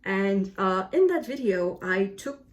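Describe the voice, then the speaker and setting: A woman book vlogger chatting casually to camera in an animated, self-deprecating way. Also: medium pitch, a little slow.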